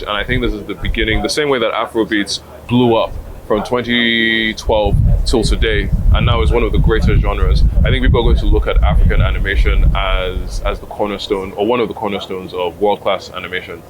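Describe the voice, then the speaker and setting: A man gives an interview opinion in a fairly low voice. A clear ambient hum runs behind him. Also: fairly even intonation, brisk. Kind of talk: interview answer.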